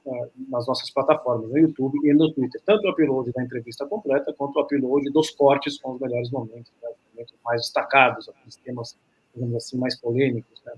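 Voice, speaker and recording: Husky Brazilian man hosting a podcast. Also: medium pitch. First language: Portuguese. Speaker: podcast host. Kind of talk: formal podcast introduction. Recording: dull lo-fi call audio.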